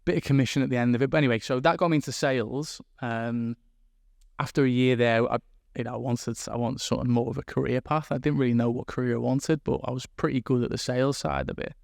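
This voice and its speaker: A man from England with a mild Liverpool accent, talking as a guest about his business in a relaxed, rambling way. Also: mid-range voice, fast talker, vocal fry, dry recording, clean studio sound. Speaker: guest, recruitment business owner. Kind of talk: casual interview chat. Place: room in Dubai.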